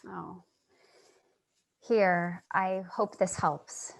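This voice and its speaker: A woman's voice: lowish voice, steady tone, drawn-out words, gravelly, full character voice. A woman playing an earnest, sympathetic, somewhat naive writer in a staged reading, sincere and a little hesitant. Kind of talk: staged reading with first-person narration.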